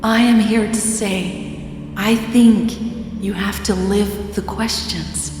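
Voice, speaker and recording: A woman voicing an earnest female speaker who pleads for peace in a slow, emphatic speech for an audio story. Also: medium pitch, fairly even intonation, a little mellow, reverberant, big-room sound, soft background music, natural voice. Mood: wistful, earnest. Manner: slow, beseeching, emphatic, repetitive. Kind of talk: dramatised audio fiction speech.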